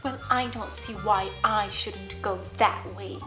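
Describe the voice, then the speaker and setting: A woman's voice: mid-range voice, steady tone, slow, music in the background, voice pushed down, natural voice. A woman voicing a stubborn, pouty little schoolgirl arguing her way through a children's bedtime story. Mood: argumentative, pleading. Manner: pouting, reasoning back stubbornly.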